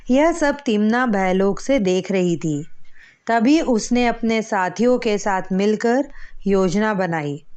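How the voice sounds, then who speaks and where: A woman's voice: mid-range voice, darkish tone. A woman narrating a scripted children's story as a storyteller.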